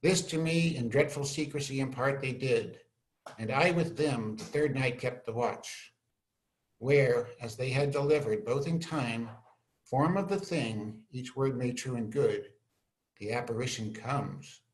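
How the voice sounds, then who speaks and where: An earnest young scholar and loyal friend to a prince, read by an amateur male actor, speaking in a mid-range voice. He delivers Shakespearean verse in a measured, respectful way, as in a staged reading. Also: mid-range voice, close to an everyday voice, low-quality recording. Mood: grave, narrating. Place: indoors, on a video call. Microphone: video call.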